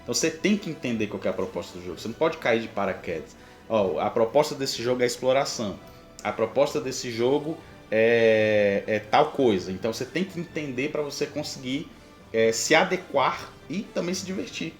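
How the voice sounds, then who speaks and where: A man's voice: mid-range voice, lively intonation, brisk, soft background music. Brazilian man in a roomy echo. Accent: Brazilian Portuguese. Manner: explanatory, informal, addresses the listener directly. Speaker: podcast host. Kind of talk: conversational advice with examples.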